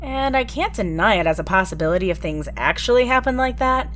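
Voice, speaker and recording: A woman's voice: medium pitch, lively intonation, a little fast, fairly bright tone, slight background noise, lightly acted. A woman voicing an earnest rabbit prosecutor.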